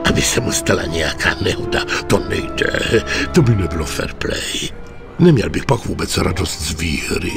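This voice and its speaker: Casting: middle-aged game mob boss, low gravelly voice, authoritative, music underneath. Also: male voice, animated. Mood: scheming, commanding. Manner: authoritative, deliberate. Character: commanding middle-aged male mafia boss giving orders. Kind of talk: dubbed video game cutscene acting.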